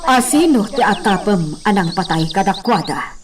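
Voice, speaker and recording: A woman with an Ilocano accent playing a troubled, gently probing hacienda mistress in a radio drama, measured and confiding. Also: medium pitch, big pitch swings, crowd noise, lightly acted. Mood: probing, gentle. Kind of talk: slow-paced radio drama acting. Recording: distant mic, clearly noisy background.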